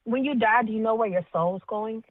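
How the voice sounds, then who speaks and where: A woman's voice: a little high, a little fast, no echo. A woman guest on a podcast, teasing the host in playful, mock-preachy banter. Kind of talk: casual banter. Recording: dry, tinny phone-like line.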